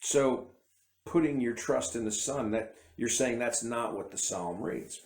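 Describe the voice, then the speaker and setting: A man's voice: medium pitch, measured pace, darkish tone, reverberant. A man giving a casual, rambling bible commentary to camera, often breaking off and restarting his sentences. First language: English. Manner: rambling, self-interrupting, conversational. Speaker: independent bible teacher.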